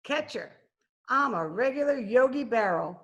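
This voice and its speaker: A woman's voice: a little high, lively intonation, normal speed, muffled recording, natural voice. An actress playing a brash, joking, aging cleaning woman in an old-time radio detective drama. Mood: boastful. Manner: brassy, joking banter. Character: brash, wisecracking aging scrubwoman, tough and sporty. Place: at home, recorded remotely.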